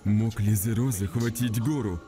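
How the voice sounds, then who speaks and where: A man playing a serious, authoritative martial guardian in a dubbed animated fantasy, stern and instructive. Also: lowish voice, moderate pace, soft background music, lightly acted. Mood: grave, urgent.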